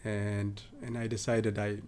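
A man from southern Ethiopia, a guest in a podcast interview, talking casually with many pauses and fillers. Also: lowish voice, level tone, a little mellow, slight background noise. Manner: hesitant, many fillers and restarts.

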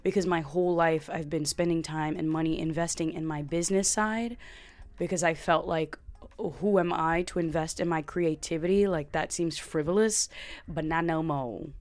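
A woman's voice: low voice, fairly even intonation, normal speed, darkish tone, dry recording. A woman in her thirties hosting a podcast, talking in a sassy, playful way with slangy asides. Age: 30s. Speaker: podcast host. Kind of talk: podcast intro and interview.

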